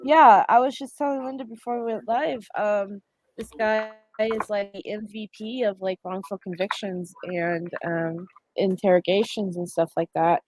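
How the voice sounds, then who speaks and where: A woman's voice: mid-range voice, steady tone, normal speed, darkish tone, slight background noise, rough recording. A woman hosting a casual livestream discussion, congested from a cold, chatty and full of fillers and self-corrections. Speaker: livestream host.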